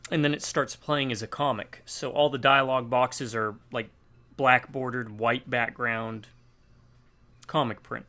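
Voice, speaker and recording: A man's voice: mid-range voice, steady tone, unhurried, bright, forceful tone. A man chatting casually while gaming, telling a long rambling story and acting out bits of dialogue. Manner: rambling storytelling, acts out quotes. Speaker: gamer co-op player.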